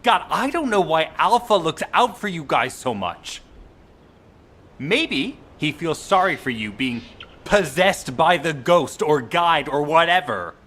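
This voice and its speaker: Cocky, condescending soldier for a game. Theatrical and sneering, higher register, slow delivery. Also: male voice, lively intonation, fairly bright tone. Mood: irritated, haughty. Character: cocky, short-tempered, condescending soldier with a grudge. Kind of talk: video game voice acting.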